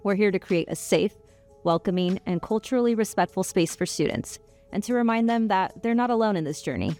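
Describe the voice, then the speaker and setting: A woman from Northern California, a clinical social worker, giving a warm, sincere scripted introduction. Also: medium pitch, lively intonation, a little fast, faint music behind.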